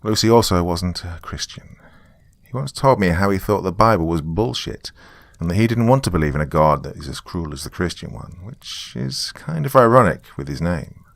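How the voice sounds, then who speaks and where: Very deep, gravelly male storyteller. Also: lively intonation, normal speed, no room sound. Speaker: narrator. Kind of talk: scripted first-person horror story narration.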